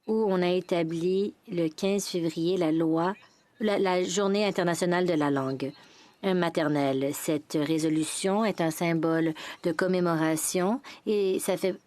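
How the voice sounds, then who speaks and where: A woman delivering a formal parliamentary speech in French, in a measured way with brief restarts. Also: lowish voice, a little mellow.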